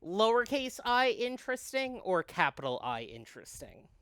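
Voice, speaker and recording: A man's voice: extremely high pitch, sing-song, moderate pace, pushed and bright, clean tone, no room sound, studio quality. A person, a man, hosting a game playthrough in casual chat and reading aloud. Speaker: host of a visual novel playthrough. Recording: music behind the voice.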